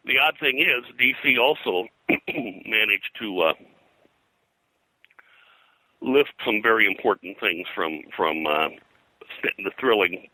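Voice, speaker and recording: Deep, forceful older man, halting delivery. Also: dry recording, poor audio. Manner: halting, discursive, scholarly detail. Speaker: guest, comics and pulp fiction historian. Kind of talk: interview conversation, recounting history.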